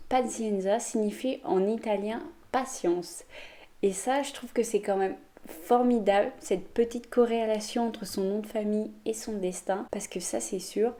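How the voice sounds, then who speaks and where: Youtuber woman telling a true story, mellow warm voice, casual chatty delivery. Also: medium pitch. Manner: chatty, addresses viewers directly. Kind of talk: storytelling vlog.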